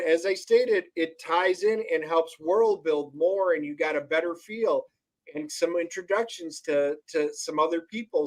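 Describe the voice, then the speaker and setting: Upbeat man with a very high voice. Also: low-quality recording. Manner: upbeat, addresses viewers directly. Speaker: co-host. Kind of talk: casual livestream chat.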